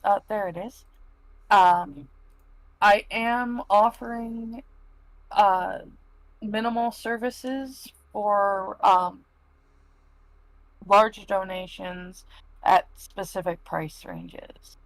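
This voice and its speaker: A woman speaking casually as a live stream panel member, halting and plain-spoken. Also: mid-range voice, steady tone, dry recording, low-quality recording.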